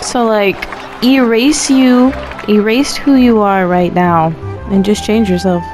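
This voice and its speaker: A woman's voice: mid-range voice, fairly even intonation, slow, over music. A woman streamer giving casual, sarcastic and exasperated reactions while playing a game. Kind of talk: casual reactive game commentary with read-aloud dialogue choices.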